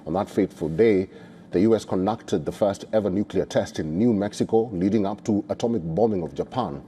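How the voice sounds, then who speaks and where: A man presenting scripted news narration in a formal, measured delivery. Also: deep voice, warm tone, husky.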